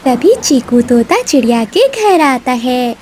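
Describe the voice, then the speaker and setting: A woman's voice: high voice, big pitch swings, takes it slowly, a little mellow, slight background noise. A woman narrating a scripted children's cartoon story.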